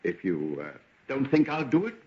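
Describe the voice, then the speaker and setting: An actor playing a genteel, evasive gentleman doctor, hesitant, polite and earnest, in an old-time radio drama. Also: medium pitch, sing-song, normal speed, lightly acted. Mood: defensive, earnest. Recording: dull, lo-fi vintage radio recording.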